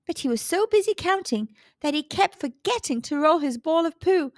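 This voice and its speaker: A woman hosting a children's storytime, reading a story aloud in an expressive storytelling style. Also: sits high, normal speed, no echo, studio quality. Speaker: children's storytime host and narrator.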